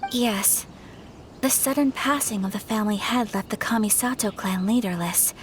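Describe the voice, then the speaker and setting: A woman's voice: medium pitch, moderate pace, slight background noise, close to an everyday voice. A woman playing a refined young noblewoman in a video game, speaking in a polite, composed and formal way. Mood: composed, earnest. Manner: poised, formal, courteous. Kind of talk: video game story dialogue.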